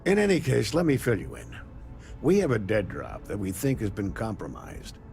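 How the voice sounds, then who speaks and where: An actor playing a composed male secret agent in a video game, dry, measured and slightly condescending. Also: fairly low pitch, huge pitch swings, vocal fry, darkish tone, exaggerated voice. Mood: businesslike. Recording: prominent music behind the voice.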